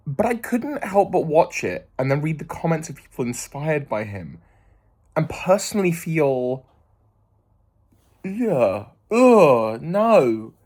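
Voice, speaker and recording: Man in his thirties, reflective vlog monologue, mid-pitched voice, hesitant, full of fillers and restarts. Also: darkish tone. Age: 30s. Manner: thoughtful and earnest. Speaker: vlogger.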